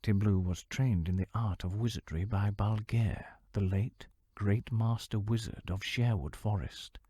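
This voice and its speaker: A man narrating a fantasy novel in a measured storytelling manner, sometimes dropping to a hushed near-whisper. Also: lowish voice, slightly rough, no echo. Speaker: audiobook narrator.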